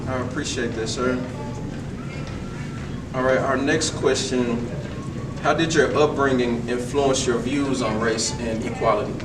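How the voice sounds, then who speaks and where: A man's voice: mid-range voice, fairly even intonation, unhurried, long pauses. Polite guy asking interview questions, sounds far from the mic, echoey. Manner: polite and deferential, often says "yes, sir". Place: school building, large echoey room. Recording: echoey room, mic far off, clear background noise.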